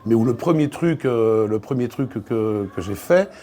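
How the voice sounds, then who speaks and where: A man speaks in a relaxed, mellow voice at a middle pitch. He tells anecdotes from his working life as an interviewee, often restarting and repeating words in a conversational way. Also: moderate pace, a little hiss or hum. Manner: hesitant, repeats words, acts out quoted exchanges. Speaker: interviewed voice actor and dubbing director. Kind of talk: casual interview, telling work anecdotes.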